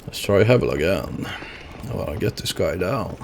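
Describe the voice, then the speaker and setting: A man's voice: sits low, lively intonation, normal speed, vocal fry, a little mellow, slight background noise. A man streaming a video game, chatting casually and rambling with lots of fillers as he plays. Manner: rambling, lots of fillers, chuckles at his own deaths. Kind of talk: casual gameplay commentary.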